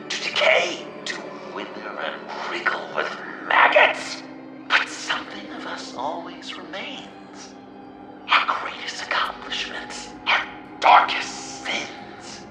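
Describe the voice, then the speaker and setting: An actor playing a sadistic, theatrical game villain who mocks grandly, moving between whispers and shouts. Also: male voice, fairly high pitch, roomy sound, far from the mic, full character voice. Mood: sinister, morbid. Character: theatrical, sadistic male villain staging deadly games. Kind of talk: video game villain acting.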